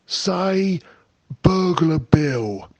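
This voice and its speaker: A man reading a storybook aloud and voicing a rough-spoken male burglar with a slow, drawling dialect. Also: sits high, animated, dry recording, voice pushed up, heavily acted. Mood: gruff.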